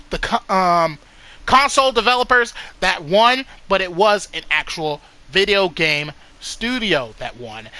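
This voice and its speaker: Man, gaming host, very bright tone, high lively pitch, excitable, lots of ums and restarts, slightly stuffy nose. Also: dry recording. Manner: excitable, many fillers and restarts. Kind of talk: let's play commentary.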